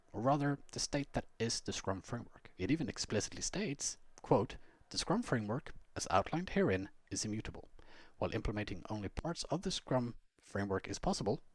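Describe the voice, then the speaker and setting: Husky, low-voiced man reading with lively intonation. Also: dry recording. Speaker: blogger and Scrum trainer reading own blog post. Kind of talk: scripted narration, instructional.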